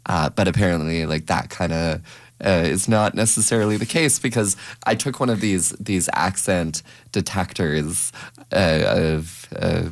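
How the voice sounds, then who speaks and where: A young man co-hosting a radio show in a studio, talking in a relaxed, hesitant way with many fillers. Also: sits low, measured pace, no room sound. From Canada. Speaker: radio co-host. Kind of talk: casual radio chat. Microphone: studio microphone.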